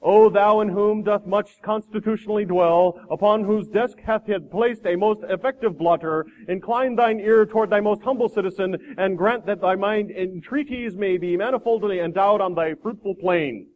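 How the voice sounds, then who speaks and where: Man as a pompous, high-voiced stout orator. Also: darkish tone, lightly acted, rough recording. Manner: pompous, drawn-out archaic declaiming. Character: pompous stout man in tuxedo, orating flowery prayers.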